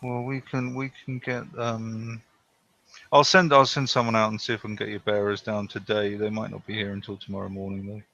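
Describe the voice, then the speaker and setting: Casting: man playing a gravelly, officious port governor checking papers, bright tone. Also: fairly low pitch, flat delivery, brisk, highs cut off, slight background noise. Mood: brisk, officious. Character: brisk colonial port governor, middle-aged man, officious.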